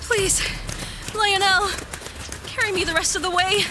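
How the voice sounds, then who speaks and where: A woman's voice: very high-pitched, fairly even intonation, measured pace, noisy background. A woman playing a weary young fugitive in a fantasy audio drama, complaining with sarcasm. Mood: pleading, exasperated.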